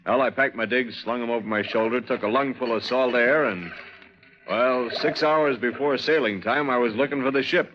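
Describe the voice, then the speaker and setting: A man's voice: medium pitch, moderate pace, background noise, natural voice. Green young seafarer, played by a man: earnest, easygoing, plain-spoken, 1940s radio drama, dull vintage recording. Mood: reminiscing. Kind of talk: 1940s radio drama acting, first-person narration and dialogue.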